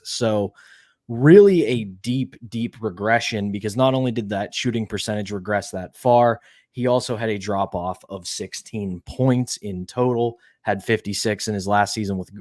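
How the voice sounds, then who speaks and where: Low-voiced podcast host, dry sound. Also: male voice, darkish tone, dry recording. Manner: measured, stats-driven, asks co-host questions. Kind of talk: casual sports podcast talk.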